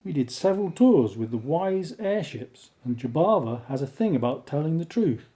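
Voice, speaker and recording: Male actor: blunt, opinionated settler for radio play. Very dark, mellow tone, pitched on the high side. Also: very animated, moderate pace. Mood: matter-of-fact. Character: opinionated, wry male settler living apart with abductees. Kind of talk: audio drama acting.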